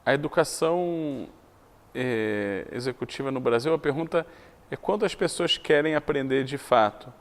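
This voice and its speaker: A man's voice: medium pitch, animated, normal speed. A man hosting a business interview, asking direct questions with hesitant restarts. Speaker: interviewer, host.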